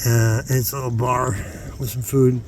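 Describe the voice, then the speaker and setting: A middle-aged man chatting informally to viewers in a rambling vlog, halting as he thinks aloud and repeating words for emphasis. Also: medium pitch, flat delivery, a little hiss or hum. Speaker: vlogger, hobby rockhound.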